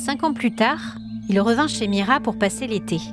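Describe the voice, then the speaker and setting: Woman narrating a story over soft music. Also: a little high, moderate pace. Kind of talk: scripted dramatic narration of a fantasy tale.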